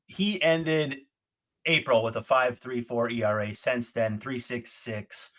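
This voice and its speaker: A man's voice: medium pitch, slow pace, fairly bright tone. A man co-hosting a casual sports podcast, rattling off statistics in an analytical way. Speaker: podcast co-host.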